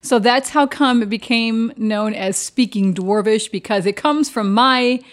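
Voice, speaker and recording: Woman, Chicago-area Midwest accent, hint of Texas drawl, rapid slurred speech, giggly. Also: on the high side. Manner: fast talker, slurs words, laughs often. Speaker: podcast co-host and tabletop player. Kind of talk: casual blooper-reel banter.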